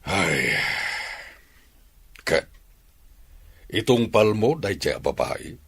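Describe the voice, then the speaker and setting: An actor playing a stern older father, a rancher, who lectures his son gravely in a radio drama. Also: low-pitched, very animated, extremely slow, a bit bright, heavily acted.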